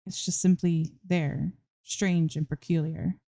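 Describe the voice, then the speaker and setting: Mellow low-voiced woman reading a story. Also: steady tone, slow pace, vocal fry, no room sound, studio quality. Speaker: fan fiction reader. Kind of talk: scripted story narration, audiobook reading.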